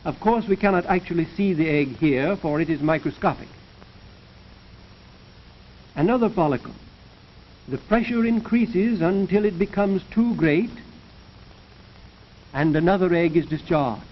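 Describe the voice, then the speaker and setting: High-voiced man narrating vintage educational film. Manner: formal, measured lecturing. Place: recorded voice-over for a film. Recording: dull, noisy 1930s film soundtrack.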